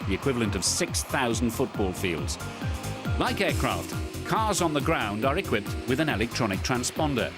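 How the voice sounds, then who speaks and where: A man delivering scripted documentary narration. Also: medium pitch. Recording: music behind the voice. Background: music.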